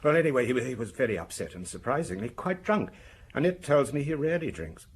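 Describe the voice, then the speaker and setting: An actor playing a weary, defensive husband under police questioning in a radio drama, speaking hesitantly and explaining himself in broken sentences. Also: male voice, mid-range voice, sing-song, fast, breathy edge. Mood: weary, candid.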